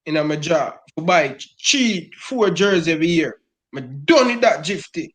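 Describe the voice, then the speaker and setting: A man's voice: on the high side, expressive, moderate pace, a bit bright. A middle-aged man with a Jamaican Patois accent, speaking as a football fan in an impassioned rant and calling everyone "brother" over and over. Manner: emphatic, repeats "brother" constantly.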